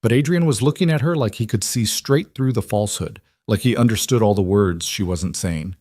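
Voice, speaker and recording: A man with a fairly low voice narrates a scripted romance story. The recording is dry and close, in the manner of an audiobook storyteller. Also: brisk, a little mellow, no echo.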